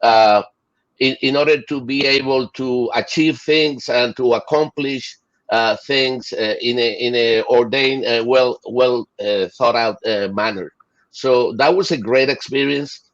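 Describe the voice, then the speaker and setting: A man's voice: mid-range voice, fairly even intonation, fairly bright tone. Enthusiastic man, lo-fi. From Chile and Puerto Rico. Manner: enthusiastic, halting with many fillers. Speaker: interview guest. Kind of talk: casual interview. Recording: dull lo-fi audio.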